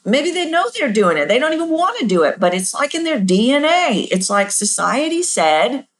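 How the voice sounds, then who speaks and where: Animated woman voice coach, lively pitch. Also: normal speed. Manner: animated, demonstrates pitches with her voice; candid and self-deprecating. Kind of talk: podcast answering listener questions.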